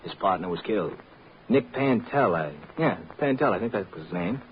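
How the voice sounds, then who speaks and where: Man playing breezy, animated old-radio newsman. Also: lowish voice, close to an everyday voice. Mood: matter-of-fact. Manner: breezy, slangy, drawn-out teasing. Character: jovial, folksy, world-weary newsman informant. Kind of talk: 1940s-50s radio drama acting. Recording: muffled, noisy old radio recording.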